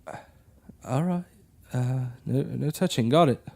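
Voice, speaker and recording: An actor playing a wary, earnest and protective brother with a temper in an audio horror drama. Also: male voice, medium pitch, unhurried, vocal fry, a little mellow. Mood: wary concern. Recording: music under the voice.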